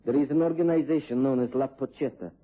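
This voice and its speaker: A man plays a courteous, earnest Central European gentleman in a vintage radio play. His voice is mid-pitched and somewhat husky, captured on a thin, tinny recording. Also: close to an everyday voice. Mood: urgent, grave. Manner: formal, deliberate wording. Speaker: actor playing foreign visitor in radio drama. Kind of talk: BBC radio drama acting.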